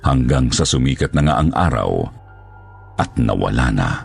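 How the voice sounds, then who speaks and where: Extremely deep, creaky male horror narrator. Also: lively intonation, moderate pace. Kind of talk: dramatic scripted first-person narration. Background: music.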